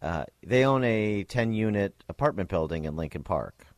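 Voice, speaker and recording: A man's voice: on the low side, expressive, normal speed, no echo, studio quality. A man hosting a morning talk radio show, chatting casually and wryly about the news. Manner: wry, conversational, rattles off phone numbers. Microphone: studio microphone.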